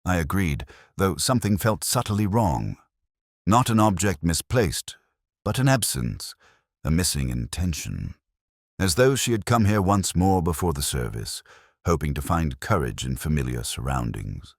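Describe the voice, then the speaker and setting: A man narrating a scripted first-person detective story in a measured, solemn, literary manner. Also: low-pitched, big pitch swings, creaky, dry recording, clean studio sound.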